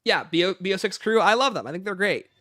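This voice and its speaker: A man's voice: high voice, lively intonation, fast, bright, forceful tone, no room sound, studio quality. A man hosting a livestream, casually reading out questions and answering them with emphatic opinions.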